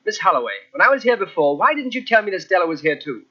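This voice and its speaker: An actor playing the urgent hero and narrator of a haunted-house radio mystery, with theatrical intensity. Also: male voice, sits high, big pitch swings, fast talker, loud music behind the voice. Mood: alarmed, urgent. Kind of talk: old-time radio drama acting. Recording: muffled old radio cassette transfer.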